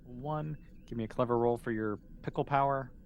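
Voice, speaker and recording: A man's voice: mid-range voice, moderate pace, highs cut off. A man acting as game master, narrating a tabletop roleplaying game in a casual, amused, improvised way. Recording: noticeable background noise.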